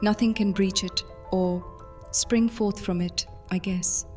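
A woman plays a vampire commander in a fantasy audio drama. She is motherly, fond and teasing, at a middle pitch. Music plays softly behind her. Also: steady tone, slow, lightly acted. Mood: explaining, amused. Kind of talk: immersive audio drama roleplay.

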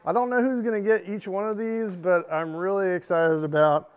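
A man's voice: high voice, fairly even intonation, moderate pace, a little mellow, clean tone, highs cut off. A man in his thirties casually walking through products in a large indoor showroom, chatty with lots of "um" and "right?". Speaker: store product presenter.

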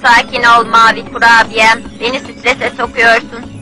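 A woman's voice: a little high, little pitch movement, a little slow, sharp tone, soft background music, rough recording. A woman playing a sassy, unflappable female computer in a cartoon dub, cool and teasing.

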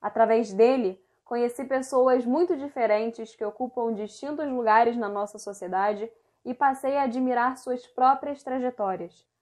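A young woman delivers a scripted presentation with a slightly high voice. She reads in a measured, deliberate way, like a student reporter. The sound is picked up close by a small wired earphone mic. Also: darkish tone. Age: young adult.

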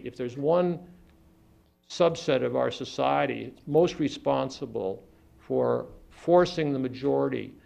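A man in his sixties from the United States, moderating a panel with a formal introduction in measured, rhetorical phrases, standing at a podium mic in a conference room. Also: medium pitch, creaky, a little mellow.

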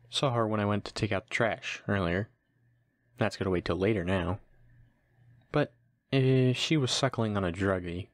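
Man as gruff kindly neighbor, audio roleplay, slangy, lowish pitch, close dry sound. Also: no echo, lightly acted. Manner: casual, blunt, slangy. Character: gruff but kindly male neighbor, streetwise, easygoing.

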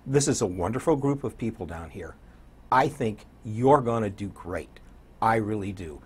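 An older man with an American accent and a hoarse voice, chatting as a radio DJ guest in an interview. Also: on the low side, expressive, moderate pace, a little mellow. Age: 60s or older. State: hoarse, getting over an illness. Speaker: community radio program director and morning DJ, guest. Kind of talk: conversational interview. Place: small indoor TV studio.

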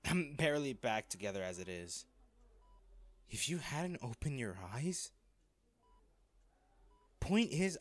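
Man acting as a slow-spoken, emotionally wounded lover. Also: mid-range voice, natural voice. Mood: worried, subdued. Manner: slow, halting, emotional delivery. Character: wealthy, devoted, emotionally wounded man in love. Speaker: voice actor in an audio roleplay. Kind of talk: intimate dramatic audio roleplay acting.

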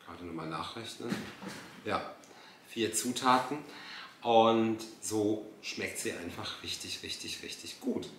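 Male reaction host, thirties, sardonic and exasperated, lower voice, some background noise. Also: measured pace, fairly bright tone, reverberant. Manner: exasperated, sarcastic. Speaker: host reacting to a TV dinner show. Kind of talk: casual reaction commentary.

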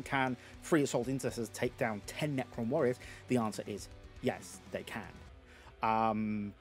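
Slightly hoarse, rambling Geordie man. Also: medium pitch. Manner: rambling, self-deprecating, lots of filler words. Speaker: YouTube host. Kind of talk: casual battle report narration. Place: home games room.

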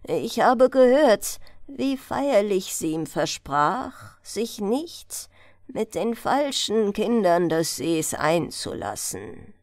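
A woman voicing a solemn, resigned eighty-year-old fisherman in a storybook tale, speaking slowly and gravely. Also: mid-range voice, very animated, a little mellow, dry recording, studio quality. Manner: slow, solemn.

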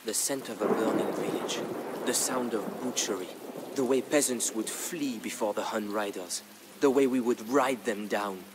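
A middle-aged man giving a scripted, dramatic historical narration in a solemn, measured storytelling manner. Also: fairly low pitch, heavy background noise. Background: background music.

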